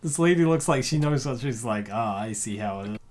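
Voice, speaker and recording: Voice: medium pitch, lively intonation, brisk, darkish tone. Jokey comic-dub narrator with rambling asides. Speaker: host, narrator and comic dubber. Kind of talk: comic dub narration with casual joking commentary.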